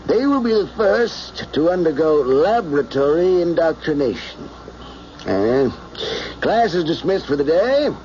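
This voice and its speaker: An actor playing a formal instructor who lectures a class on doctrine, in an old-time radio drama. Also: male voice, on the high side, very animated, a little slow, darkish tone. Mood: formal, preachy. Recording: muffled old radio recording, faint noise.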